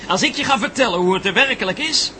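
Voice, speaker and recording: A man's voice: high-pitched, lively intonation, fast, pushed and bright, heavily acted. An actor playing a brash, blunt ex-war photographer turned cameraman in a Dutch radio play, talking colloquially and boastfully. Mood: boisterous banter. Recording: noisy radio drama, vehicle hum behind.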